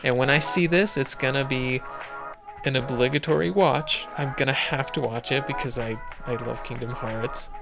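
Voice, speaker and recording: A man co-hosting a podcast, chatting casually in an agreeable, measured way. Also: medium pitch, vocal fry, over music. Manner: agreeable, brief replies, measured. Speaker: podcast co-host. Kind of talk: casual chat.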